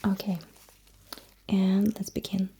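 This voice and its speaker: Slow whispering ASMR woman, soft and breathy. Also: medium pitch, monotone, poor audio. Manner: soft, reassuring, instructive. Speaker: ASMR roleplay performer as a sci-fi technician. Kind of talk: ASMR roleplay.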